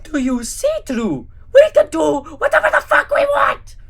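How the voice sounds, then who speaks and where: A woman voicing a smug, deranged supervillain man with a drawn-out, mock-grand delivery. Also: extremely high pitch, huge pitch swings, gravelly, pitched above the performer's own voice, exaggerated voice. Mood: manic.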